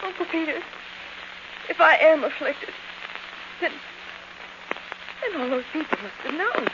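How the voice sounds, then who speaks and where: An actress playing a fragile young woman who fears for her sanity, breathless and pleading, in 1940s radio thriller style. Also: sits high. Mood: anxious, despairing. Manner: breathless, halting, pleading, theatrical. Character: frightened, fragile young niece doubting her own sanity. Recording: dull, noisy old 1940s radio recording.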